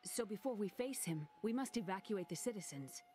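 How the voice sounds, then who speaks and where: A woman's voice: medium pitch, animated, brisk, natural voice. A woman playing an earnest, brave young heroine with magic in a video game, speaking with urgency. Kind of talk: dramatic video game acting. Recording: loud game music behind.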